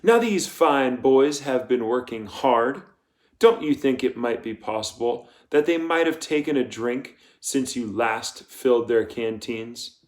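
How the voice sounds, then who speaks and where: A man voicing a menacing female camp warden in an audiobook, coldly polite and commanding, speaking softly and deliberately. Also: mid-range voice, lightly acted. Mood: icy impatience. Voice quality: soft. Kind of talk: audiobook character voice.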